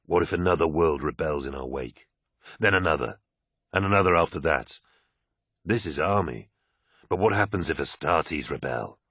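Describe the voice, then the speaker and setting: A man's voice: a bass voice, expressive, moderate pace, long pauses, a bit bright, breathy edge, no echo, clean studio sound, full character voice. A man voicing an earnest, troubled, straight-laced warrior in an audiobook, with a questioning manner. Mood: uneasy.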